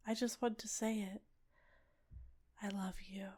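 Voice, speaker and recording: A woman playing a doting, possessive fox-spirit wife in an ASMR roleplay, speaking in soft, breathy, soothing murmurs and whispers. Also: mid-range voice, flat delivery, a little slow, natural voice. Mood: loving, soothing. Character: doting, possessive female kitsune wife, tender, lovestruck.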